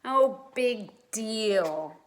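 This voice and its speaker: A woman's voice: a little high, drawn-out words, a little hiss or hum. Woman voicing a reluctant, sceptical young storybook boy. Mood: bored, unimpressed. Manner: flat, unimpressed, reluctant. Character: bored, sceptical young boy, easily daunted.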